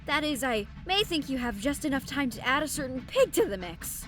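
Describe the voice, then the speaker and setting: A woman's voice: sits high, very animated, a little fast, fairly bright tone. A woman voicing a cheeky, verbose, manic male rogue, theatrical and flippant, in a comic fan dub. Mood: sly.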